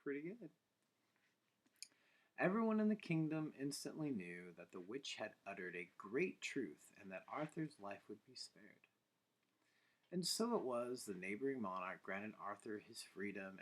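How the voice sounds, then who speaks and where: Male storyteller, lively swinging intonation, bedtime tale, casual playful asides. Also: fairly low pitch, slightly rough, rough recording. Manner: playful, teasing asides to listeners.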